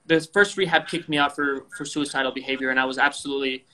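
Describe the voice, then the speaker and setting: A man's voice: mid-range voice, steady tone, quick pace, pushed and bright, a little hiss or hum, rough recording. A young man who grew up in Indonesia, speaking frankly over a video call as an interview guest, rambling with many fillers and restarts. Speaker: guest athlete.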